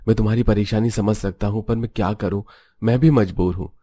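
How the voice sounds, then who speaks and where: A man's voice: fairly low pitch, steady tone, fairly quick, dark tone, dry recording, natural voice. A man voicing a resigned young husband, helpless before tradition, in a Hindi cartoon family drama. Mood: resigned, apologetic.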